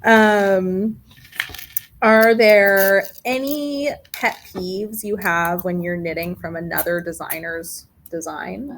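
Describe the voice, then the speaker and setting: A chatty woman hosts a relaxed live stream from a yarn shop. She asks playful questions and laughs easily. A faint hum of the shop sits behind her. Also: medium pitch. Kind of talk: casual live-stream interview. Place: yarn shop with a small gathering.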